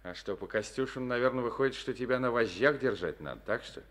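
A man's voice: medium pitch, fast, fairly bright tone, lightly acted. An actor playing a coaxing, reassuring male party secretary in a Soviet radio play.

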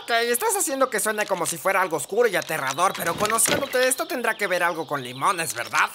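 Man doing an excitable, dorky Grim Reaper. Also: sits high, fast, loud music behind the voice. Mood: awkward, doubtful. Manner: dramatic, whiny, defensive. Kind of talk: animated cartoon dub.

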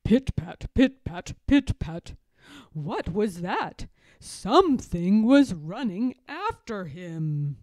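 A woman storyteller reading a children's picture book aloud in a dramatic, expressive way with suspenseful pauses. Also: medium pitch, no echo, clean studio sound.

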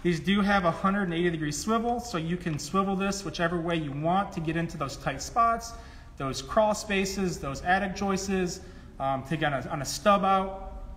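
High-voiced man demonstrating in an echoey hall. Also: normal speed. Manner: instructional, step-by-step. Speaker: product demonstrator. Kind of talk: live tool demonstration. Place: trade show booth in a large indoor hall. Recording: echoey hall, loud clicks and background noise.

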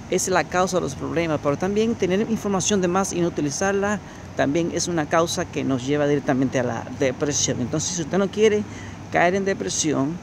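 A man's voice: high voice, gravelly. A man in his thirties giving a motivational talk to a phone camera as he walks along a city promenade at night, repeating his points and speaking straight to the viewer. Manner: preachy, repetitive, addresses viewer directly. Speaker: motivational coach. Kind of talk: walking vlog monologue. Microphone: phone camera held while walking. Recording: noisy outdoor phone recording. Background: street ambience and passing traffic.

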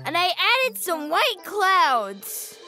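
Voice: extremely high pitch, very animated, very slow, bright, forceful tone, full character voice. A performer voicing a curious, friendly little kindergarten boy in a children's cartoon, with an eager, caring manner. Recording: music behind the voice.

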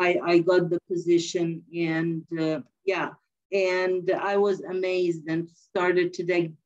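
A woman's voice: on the low side, darkish tone. A middle-aged woman from Egypt, Arabic her first language, speaking as a career panelist in a friendly, chatty way with many fillers and restarts, on a webcam video call from home. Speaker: panelist, library newcomer specialist. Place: home room by a window. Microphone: laptop webcam on video call. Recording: dull lo-fi video call audio.

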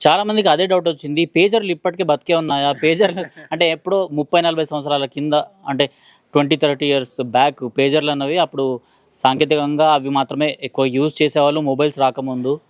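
A man's voice: on the high side, fairly even intonation, fast, no room sound. A man interviewing in a conversational news discussion, speaking in a questioning way.

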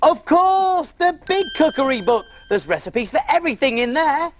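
A woman's voice: high-pitched, animated, normal speed. A woman playing a cheerful cafe cook on a children's show, talking with enthusiasm.

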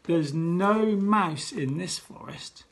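A man voicing a brash male head teacher who acts like a showman, with loud, drawn-out greetings. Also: high-pitched, creaky, slight background noise, full character voice. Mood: breezy.

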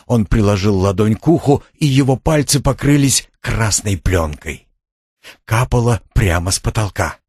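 Casting: male narrator for suspense audiobook, low-pitched, husky, measured and dramatic. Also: darkish tone, no room sound, studio quality. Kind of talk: scripted narration of a suspense thriller.

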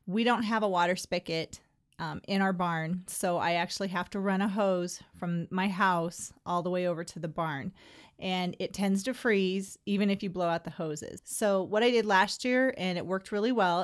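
A middle-aged woman narrating her own how-to video about her barn. She explains each step plainly and in a friendly way, at an everyday pitch. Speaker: horse owner vlogger.

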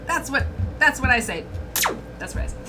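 A chatty woman hosts a casual livestream from a small room, talking straight to her viewers and reading their comments out loud. A webcam picks her up, with clear noise underneath her voice. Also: high voice, animated, brisk. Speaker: host.

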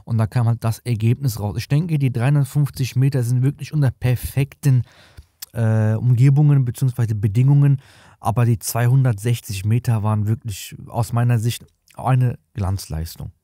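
A man's voice: medium pitch, steady tone, moderate pace, mellow. A man in his thirties hosting a product review, explaining things in a casual, colloquial way. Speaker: tech reviewer, video host.

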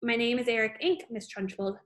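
A small schoolboy from a storybook, played by a woman reader, brave and a bit cheeky. He answers back politely and plainly in a high voice. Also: a little fast, close to an everyday voice. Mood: matter-of-fact. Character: brave, cheeky little schoolboy, matter-of-fact.

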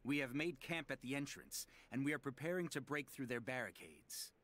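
A man's voice: medium pitch, lively intonation, normal speed, a bit bright, natural voice. An actor playing a zealous medieval knight in a video game, formally reporting to his lord in a solemn, declamatory way. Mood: solemn, resolute. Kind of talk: scripted voice acting for a game document narration.

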